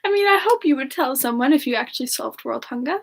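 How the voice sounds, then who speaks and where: A woman doing a semi-ASMR live question-and-answer chat in character, musing aloud in a halting, giggly manner. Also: high-pitched, animated, creaky. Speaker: livestream host in character, answering viewer questions.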